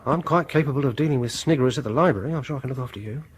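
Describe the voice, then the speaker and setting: An actor in a British radio horror drama playing a fussy, awkward, quietly intense music lover, with a British accent and a prim, hesitant, stammering way of talking. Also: male voice, medium pitch, very fast, husky. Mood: prim, reassuring.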